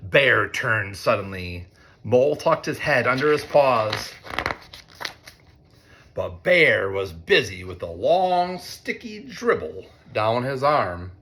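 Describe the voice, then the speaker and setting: A man narrating a children's storybook interactively, expressive and playful, pausing to put questions to his listeners. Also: mid-range voice, a little hiss or hum. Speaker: read-aloud storyteller, teacher.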